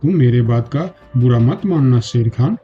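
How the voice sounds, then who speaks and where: A man playing proud, powerful jungle animals in an animated fable, with a boastful, declamatory way of talking. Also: medium pitch, normal speed, highs cut off, faint music behind, natural voice. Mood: indignant. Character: proud, powerful male jungle animals: lion king, bear. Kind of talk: Hindi animated fable dialogue.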